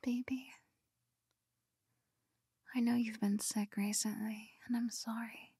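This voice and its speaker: A woman's voice: on the high side, flat delivery, takes it slowly, warm tone, breathy edge, no room sound. A young woman whispering close to the mic in an ASMR roleplay, giggly and affectionate. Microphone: close binaural mic.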